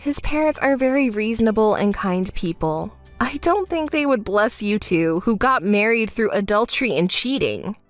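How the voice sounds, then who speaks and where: A woman performs a sensible, wronged young woman for a melodramatic story. She keeps her voice low and controlled, speaking in a measured, dismissive and reproachful way. The sound is dry and close. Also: lively intonation, dry recording, soft background music, natural voice. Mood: cool, dismissive.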